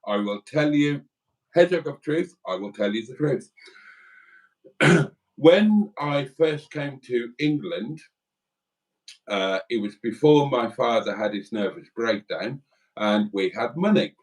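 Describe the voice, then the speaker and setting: A man's voice: medium pitch, lively intonation, takes it slowly, pauses often, far from the mic, rough recording. Welsh-born guy reading out stream comments, rambly, sits back from the mic. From Wales. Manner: chatty and rambling, with asides to viewers. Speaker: co-host of a live stream. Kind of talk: casual live-stream chat, reading viewer comments.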